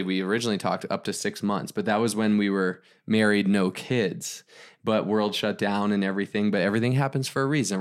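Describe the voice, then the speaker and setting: Upbeat man co-hosting a podcast. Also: lowish voice. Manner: upbeat, motivational, talks in long run-on stretches. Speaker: podcast co-host. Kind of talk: casual chat.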